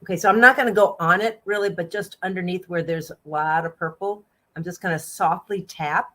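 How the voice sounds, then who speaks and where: A woman chats her way through a makeup demonstration, giggling often and giving gentle, bossy instructions to her client. Her voice sits a little low for a woman. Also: brisk. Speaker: makeup artist.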